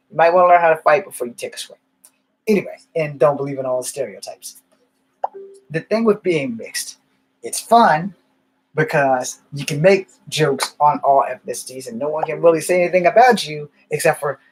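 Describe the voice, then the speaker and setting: A man's voice: fairly high pitch, slight background noise, poor audio. A man rambling through candid stories in a casual monologue, swearing now and then. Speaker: vlogger.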